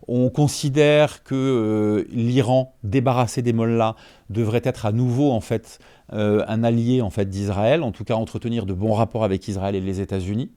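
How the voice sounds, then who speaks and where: Warm-voiced man arguing his case. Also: fairly low pitch, steady tone, moderate pace, clear, resonant tone. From Franco-Iranian. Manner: emphatic, peppered with "en fait" and rhetorical checks. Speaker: journalist guest. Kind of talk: interview answers, argumentative.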